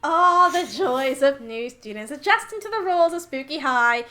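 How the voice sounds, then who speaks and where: A woman streaming a game, reading its text aloud and chatting in an expressive, giggly way. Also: sits high, moderate pace. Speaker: streamer playing a dating sim.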